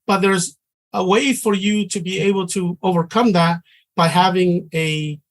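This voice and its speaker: High-voiced man teaching. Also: level tone. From Samoa. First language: Samoan. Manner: earnest, conversational, halting with restarts. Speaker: trading instructor. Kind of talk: teaching a class.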